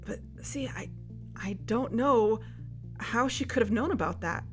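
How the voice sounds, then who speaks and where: An actress playing a worried, guarded amateur investigator, speaking hesitantly in a naturalistic audio drama. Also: female voice, a little high, lively intonation, measured pace, music in the background.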